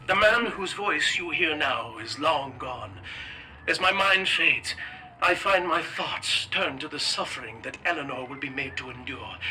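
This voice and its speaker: An actor playing a doomed male scientist in a game, speaking formally and gravely, pleading and resigned. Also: a little high, sharp tone, a little hiss or hum, full character voice, low-quality recording. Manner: formal, measured, sorrowful. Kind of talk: dramatic game voice acting.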